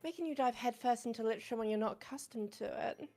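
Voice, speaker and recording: Woman voicing a shy bookish teen girl, visual novel, hesitant, stammering, high mellow voice. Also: fast talker, soft background music, close to an everyday voice. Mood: timid. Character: shy bookish teenage girl, soft and timid. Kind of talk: fan voice acting of a visual novel.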